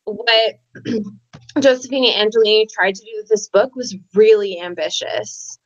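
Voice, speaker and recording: A woman's voice: medium pitch, steady tone, a little slow. A woman co-hosting a casual book discussion over a call, talking in a tentative, informal way. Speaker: co-host on a readalong live show. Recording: dull lo-fi call audio.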